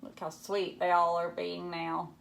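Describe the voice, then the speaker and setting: A woman's voice: lowish voice, monotone, a little slow, vocal fry, a bit bright, slight background noise. A woman reading a picture book aloud to children, theatrically, with questioning asides to the young listeners.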